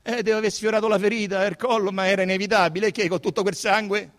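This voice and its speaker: A man's voice: high voice, fairly even intonation, fast, a bit bright, voice pushed up. An actor voicing an anxious young man with a Roman accent, stammering and imploring as he justifies himself as a suspect in a radio drama. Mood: nervous, defensive. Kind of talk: dramatised radio literary reading.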